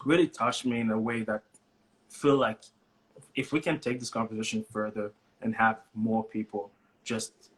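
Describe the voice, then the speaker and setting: A man talking as a guest in a live conversation, relaxed and hesitant, often repeating a word before he gets going. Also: on the low side, rough recording. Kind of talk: casual heartfelt conversation. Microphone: live stream device mic.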